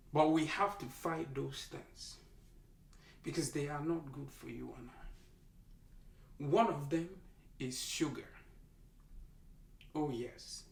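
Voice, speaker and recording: A doctor with a Nigerian accent lectures like a preacher, driving each point home and repeating it. The delivery is slow and the voice carries a gravelly edge. The sound is roomy and echoing, with the mic set some way off. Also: mid-range voice, a bit bright. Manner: emphatic, exhorting, repeats lines for effect. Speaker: doctor giving health advice. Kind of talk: preachy health talk to camera. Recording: echoey room, mic held at a distance.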